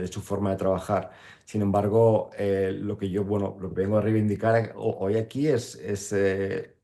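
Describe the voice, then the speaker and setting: A man giving a halting panel talk on a video call. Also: deep voice, dark tone. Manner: halting, many restarts. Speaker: guest photographer. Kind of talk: panel presentation.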